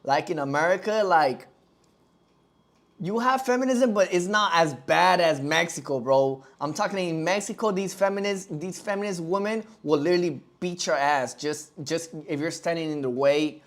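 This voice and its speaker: A man's voice: fairly high pitch, moderate pace. A man whose first language is Spanish, hosting a casual livestream and talking loosely to his chat with lots of fillers. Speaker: livestream host.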